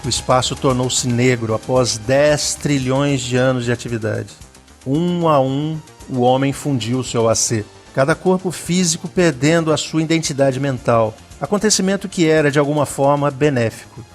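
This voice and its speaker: A Brazilian man narrating a scripted dramatic story in a solemn, deliberate manner. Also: medium pitch, soft background music.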